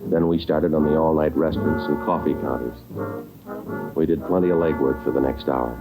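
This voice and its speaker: An actor playing a terse police detective, clipped and matter-of-fact in hardboiled 1940s radio style. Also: male voice, extremely low pitch, fairly even intonation, fairly quick, loud music behind the voice, poor audio. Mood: deadpan. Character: terse, businesslike male police detective narrating his case. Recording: old 1940s radio recording.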